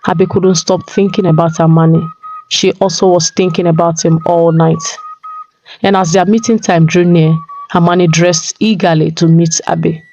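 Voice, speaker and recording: A woman's voice: on the low side, flat delivery, moderate pace, warm tone, lo-fi sound, slight background noise. A woman storyteller reading a scripted folktale narration. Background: music.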